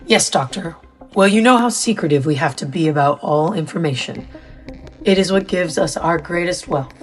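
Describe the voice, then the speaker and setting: Actress as a low-voiced, composed female official. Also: faint music behind, natural voice. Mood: composed, businesslike. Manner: formal, measured, businesslike. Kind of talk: audio drama acting.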